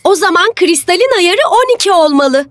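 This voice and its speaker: A woman's voice: very high-pitched, expressive, moderate pace. A woman voicing a young, determined problem solver in a children's educational cartoon, speaking in an earnest, instructive way. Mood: focused.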